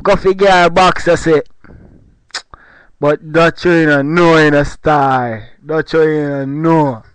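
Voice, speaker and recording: A man's voice: fairly high pitch, steady tone, takes it slowly, clean tone, no room sound. A man putting on a Jamaican patois accent as a boastful Rasta, telling comic stories. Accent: put-on Jamaican patois. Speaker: comedy podcast co-host. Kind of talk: comic storytelling.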